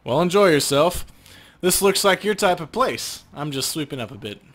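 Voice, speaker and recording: A man's voice: fairly high pitch, expressive, moderate pace, a bit bright. A man voicing a friendly, cunning janitor in a video game bar, with a folksy manner. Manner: folksy, easygoing greeting.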